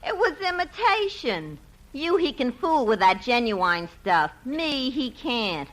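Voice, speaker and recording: Wisecracking switchboard grouch, 1950s radio comedy: slow, bright, sarcastic New drawl over studio audience and hiss. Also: a little high, big pitch swings, heavily acted. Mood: aggrieved. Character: sour, sarcastic female switchboard operator, grudge-holding wisecracker.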